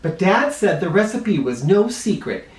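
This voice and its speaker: A man reading a children's picture book aloud in an expressive way, with dramatic emphasis. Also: high-pitched, measured pace. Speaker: storybook reader for kids. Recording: roomy echo, distant mic.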